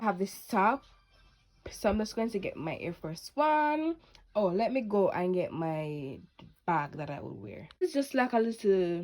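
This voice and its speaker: A woman's voice: medium pitch, a little mellow. Teen woman vlogger, Jamaican accent, casual and rambling, slips into patois. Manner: chatty, rambling, drifts into patois. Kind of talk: casual vlog chat to camera.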